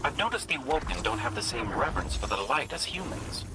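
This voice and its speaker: An actor playing a formal, principled warrior in a video game, with an inquiring manner. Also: male voice, medium pitch, very animated, fairly quick. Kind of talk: scripted video game dialogue. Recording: loud game noise behind.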